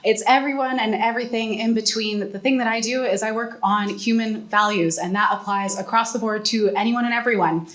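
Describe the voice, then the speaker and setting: A young woman speaking as a guest on a live podcast panel in a hotel event room, projecting confidently and explaining clearly into a handheld mic. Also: fairly high pitch, fairly even intonation, fairly quick, few pauses. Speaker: guest, AI ethicist and author. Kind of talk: panel conversation.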